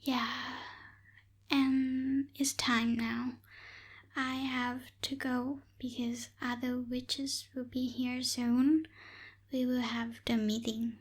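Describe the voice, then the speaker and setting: Woman acting as aloof tsundere witch, high soft voice, very slow, ASMR roleplay. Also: flat delivery. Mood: explaining, businesslike. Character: aloof tsundere night witch, lazy, businesslike young woman. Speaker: voice actor in audio roleplay.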